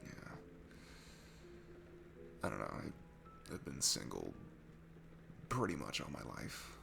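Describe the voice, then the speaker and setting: A man playing a shy, insecure, sweet guy at a bar in an intimate audio roleplay, talking in a nervous, halting, apologetic ramble. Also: rumbling low, wildly swooping pitch, gravelly, noisy background, heavily acted. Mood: shy, confiding. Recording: music behind the voice. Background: music.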